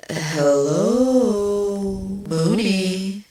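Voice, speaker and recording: A woman voicing a sardonic, villainous creature queen in a fan story reading, speaking in a dry, taunting way with a breathy edge. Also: mid-range voice, expressive, extremely slow, dark tone. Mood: mocking. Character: sardonic villainous female insect-like changeling queen. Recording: faint noise and clicks.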